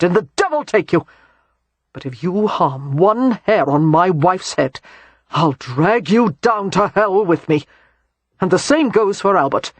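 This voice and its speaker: A man's voice: sits high, husky, no room sound, exaggerated voice. A man voicing a steely, protective male war hero who speaks in a firm, clipped way.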